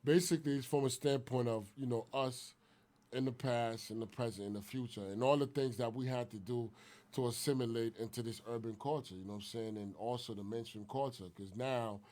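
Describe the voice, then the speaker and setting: A man's voice: medium pitch, steady tone, brisk, a little mellow. A man in his forties, born in Haiti and raised in Brooklyn, talking casually as a guest in an interview and filling his speech with "you know what I'm saying". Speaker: guest filmmaker.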